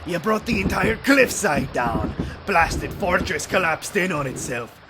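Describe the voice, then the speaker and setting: A man playing a gruff, world-weary warrior in a fantasy audio drama, blunt and gravelly, with rough colloquial speech. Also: on the high side, animated, a little slow, background noise.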